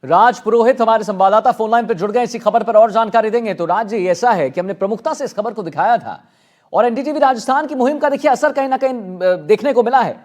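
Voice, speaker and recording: A man's voice: high voice, fast talker, darkish tone. A man anchoring live TV news in an emphatic, repetitive way.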